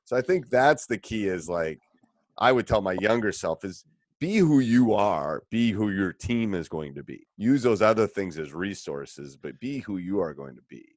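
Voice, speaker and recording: A man hosting a casual podcast conversation as a coach, blunt and animated, his voice jumping up when he makes a point. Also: moderate pace, no room sound. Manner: animated, blunt opinions, rising pitch when emphatic. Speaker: podcast host and basketball coach. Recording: dry close sound.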